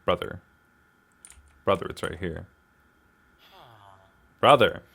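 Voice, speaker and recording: A man's voice: low-pitched, slow pace, vocal fry, fairly bright tone. A man giving casual gameplay commentary, talking to himself in a slangy, self-deprecating, exclamatory way. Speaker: gaming video host.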